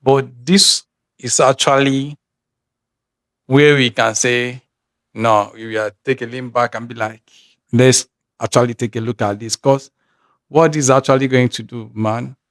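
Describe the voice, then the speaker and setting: Nigerian-accented male reaction host, mid-pitched, rambling and thinking aloud, recorded dry and close. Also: fairly even intonation, a little slow, long pauses, a bit bright, no echo. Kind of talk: casual reaction commentary.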